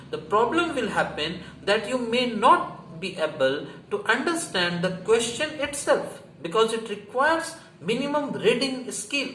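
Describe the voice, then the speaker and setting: A man's voice: sits high, sharp tone. A man with an Indian accent teaching an exam prep lesson, explaining emphatically and didactically, often repeating key phrases and asking rhetorical questions. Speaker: history teacher. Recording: echoey room, faint background noise.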